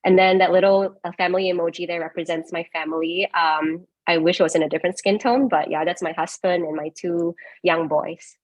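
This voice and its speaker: A woman's voice: on the low side, fairly even intonation, brisk, few pauses, no room sound, lo-fi sound. A woman from Singapore, a tech panelist, chatting casually and self-deprecatingly over a video call. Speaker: panelist, software engineer.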